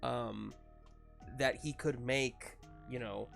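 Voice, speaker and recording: A man with a noticeably creaky voice acts as game master. He improvises narration in a casual, halting, encouraging manner. Music plays faintly behind him. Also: medium pitch, fairly even intonation, fairly bright tone.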